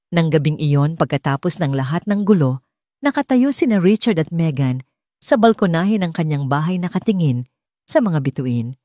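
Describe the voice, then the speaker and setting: Female Filipino audiobook narrator for romance fiction; low register, expressive swings in pitch, dry close sound. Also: no echo. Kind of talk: scripted romance story narration.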